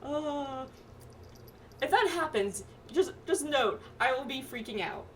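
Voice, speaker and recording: Woman, game streamer, higher voice, animated, playful, casual chat, faint noise. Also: takes it slowly. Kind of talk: casual playthrough commentary with friends.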